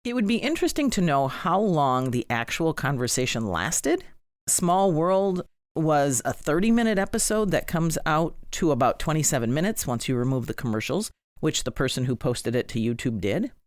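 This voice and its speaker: Lively, higher-pitched man hosting, dry sound. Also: moderate pace, no room sound. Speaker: podcast host. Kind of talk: conversational scripted commentary.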